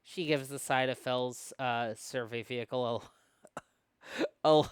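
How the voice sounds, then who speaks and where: A co-host of a book club who chats casually and reads a visual novel aloud, animated and prone to rambling asides. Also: medium pitch, takes it slowly, clear, resonant tone, no echo, studio quality. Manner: animated, rambling, self-interrupting. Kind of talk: casual chat mixed with dramatic read-aloud.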